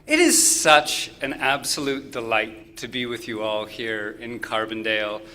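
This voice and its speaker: A man hosting a live event on a campus, giving earnest thanks and introducing a guest, with halting fillers. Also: medium pitch, lively intonation, sharp tone, a little hiss or hum. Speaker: host.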